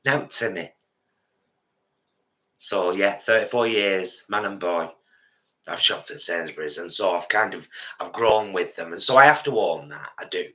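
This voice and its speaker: A man's voice: low-pitched, measured pace, pauses often, poor audio. A man in his fifties with a cold, chatting casually as co-host of a live stream and telling rambling stories. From England. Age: 50s. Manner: rambling storyteller, animated. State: has a cold, a bit bunged up.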